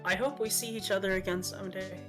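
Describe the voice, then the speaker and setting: A woman's voice: mid-range voice, fairly even intonation, fast. A woman playfully reading game dialogue aloud among friends in a casual style. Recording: music behind the voice.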